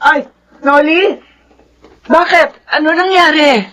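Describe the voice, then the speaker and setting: A woman's voice: high-pitched, takes it slowly, a bit bright. A woman acting as a devoted, long-suffering middle-aged widowed nanny and mother in a Filipino radio drama. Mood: alarmed. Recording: dull, noisy radio drama recording.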